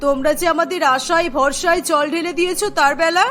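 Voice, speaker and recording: Domineering cartoon mother-in-law, middle-aged, very high voice, scolding, spiteful, music underneath. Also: fairly even intonation, moderate pace, fairly bright tone. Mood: angry scolding. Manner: scolding, accusatory. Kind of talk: melodramatic cartoon dialogue. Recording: music bed behind the voice.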